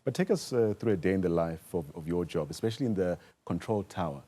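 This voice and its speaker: A South African man with a low, dark-toned voice works as a news interviewer. He puts his questions deliberately, pausing inside phrases in a broadcast interview style. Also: animated.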